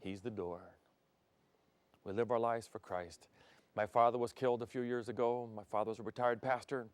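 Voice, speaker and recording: An older man raised in Thailand, a pastor delivering a televised sermon from a studio set on a lapel mic, swinging between loud emphasis and soft, intimate passages. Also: mid-range voice, fairly even intonation, moderate pace, no echo.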